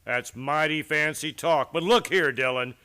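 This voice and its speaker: An actor playing a hostile, blustering but cowardly frontier hotel keeper in a western radio play. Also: male voice, a little high, unhurried, pushed and bright, smooth.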